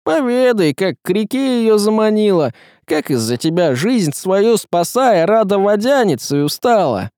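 Man voicing a stern, high-voiced accusing woman. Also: very animated, a little mellow, clean tone, no room sound, clean studio sound. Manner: severe, deliberate, reproachful. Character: stern accusing woman revealing a dark secret.

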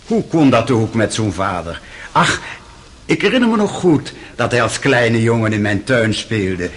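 Husky, rambling old doctor. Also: male voice, medium pitch, big pitch swings. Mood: wistful, amused. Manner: halting, searching for words, sentimental. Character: rambling, kindly, forgetful old German doctor on the stand. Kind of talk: radio drama acting.

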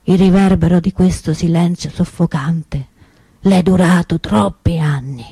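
A woman playing an outspoken, indignant preacher at Mass in a theatrical radio monologue, solemn and deliberate, with rhetorical pauses. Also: lowish voice, fairly even intonation, mellow, husky, no room sound. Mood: grave, indignant.

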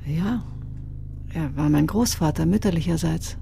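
An actress playing a reticent, enigmatic fortune teller with a husky, creaky voice and a guarded, terse manner in a radio crime drama. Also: female voice, low-pitched, a little slow, warm tone, slight background noise, exaggerated voice. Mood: calm, revealing.

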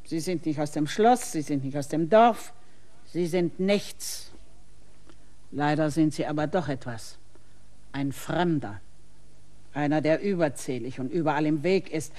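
An actress playing a stern, middle-aged innkeeper who lectures a stranger with condescension in a radio play. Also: female voice, low voice, measured pace, pauses often, dark tone. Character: stern middle-aged woman innkeeper, guarding her maid.

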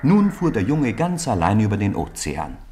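A man with a very low voice tells a story in the manner of a 1950s radio play. His delivery is measured and expressive, that of a practised narrator. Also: a little hiss or hum.

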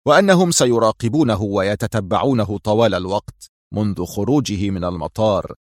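A man's voice: on the low side, a little fast, darkish tone, no room sound, studio quality, close to an everyday voice. A man playing a stern, mysterious boss of a criminal organisation, curt and firm, in a story reading. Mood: firm, explanatory. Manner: curt, firm, cold authority.

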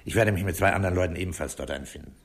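An actor playing a methodical, dryly impatient police commissioner in a 1960s German radio crime drama, speaking in a measured, authoritative way. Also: male voice, low-pitched, creaky. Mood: probing, businesslike. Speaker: police commissioner leading an investigation.

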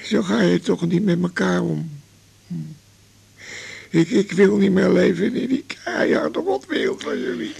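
Radio drama: stubborn, life-weary man of eighty, heavily gravelly, raspy, halting and repetitive. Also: high voice, huge pitch swings, darkish tone, exaggerated voice. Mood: bitter. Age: 80s. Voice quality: gravelly, husky. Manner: halting, stammering, repeating words. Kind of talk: naturalistic radio drama acting.

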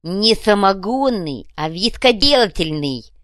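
A woman's voice: mid-range voice, sing-song, measured pace, a bit bright, no room sound, clean studio sound, pitched above the performer's own voice. A woman voicing a self-important, dim, one-eyed male gang boss with crude slangy speech in an audiobook. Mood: boastful. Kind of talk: audiobook character voice.

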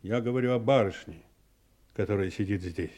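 An actor playing an agitated, guilt-ridden man giving a dramatic confession in an audio play, with a husky voice and a halting, then rushing delivery. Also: on the low side, darkish tone, natural voice. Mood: agitated, confessing.

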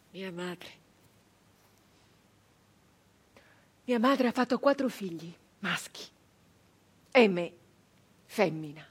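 An actress playing a wistful nineteenth-century peasant brigand woman in a halting, emotional theatrical monologue, with a husky voice. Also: mid-range voice. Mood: tender, remembering. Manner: hesitant repetitions, halting, emotional reminiscence. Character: 19th-century Italian peasant-born brigand woman, wistful, remembering home. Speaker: stage actress. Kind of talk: theatrical dramatic monologue for radio.

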